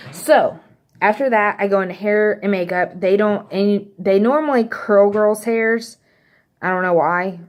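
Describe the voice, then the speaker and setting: A woman's voice: medium pitch, takes it slowly, pauses often. A young woman with a slight Texas accent, casually telling a story to camera in a chatty, rambling way with lots of fillers. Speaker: vlogger.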